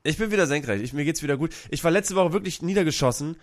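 A man co-hosts a radio talk show, his voice a little high for a man. He tells stories with a sarcastic, joking edge. His talk stays casual and quick-witted. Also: expressive. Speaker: radio co-host.

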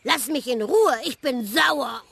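Squeaky cheerful critter, bouncy and giggly in tone. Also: female voice, expressive, slow, vocal fry, very noisy, heavily acted. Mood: gleeful. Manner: bubbly joke-telling. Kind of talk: children's radio play.

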